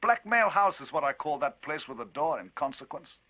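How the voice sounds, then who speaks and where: An excitable gentleman telling a strange tale in an audiobook, as a man reads him, voice leaping high with forceful emphasis. Husky edges on the loud lines. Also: moderate pace, heavily acted. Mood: animated. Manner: emphatic, rising, animated storytelling.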